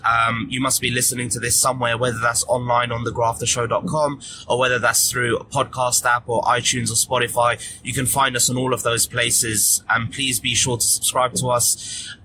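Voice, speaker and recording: Flat-voiced man hosting a podcast. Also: medium pitch, slight background noise, poor audio. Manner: relaxed and earnest, with fillers and restarts. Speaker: podcast host. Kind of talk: solo podcast update, casual monologue. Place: at the gym.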